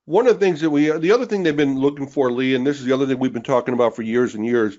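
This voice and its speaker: A man co-hosting a webinar who is losing his voice, pushing a sales pitch and calling out names to rally listeners. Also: racing, a little mellow. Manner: pushy, rallying, calls out names. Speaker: webinar co-host. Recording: dull lo-fi webinar audio.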